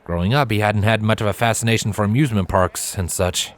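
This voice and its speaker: A man's voice: on the low side, quick pace. A man reading scripted story narration as the narrator.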